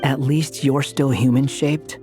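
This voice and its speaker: A man's voice: mid-range voice, steady tone, measured pace, natural voice. A man voicing a pragmatic young adventurer in a video game, dry and matter-of-fact. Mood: hesitant. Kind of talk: video game character acting. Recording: music playing behind the voice.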